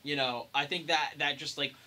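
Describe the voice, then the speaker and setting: A man's voice: a little high, fairly even intonation, normal speed, bright, forceful tone. A young man chatting casually as a podcast co-host. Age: young adult. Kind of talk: casual chat.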